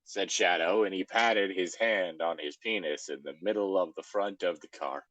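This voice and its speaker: Male co-host, low-pitched and dryly recorded, wry and exasperated, casual read-and-react delivery. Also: moderate pace, a bit bright, no echo, rough recording. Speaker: co-host reading fan fiction aloud.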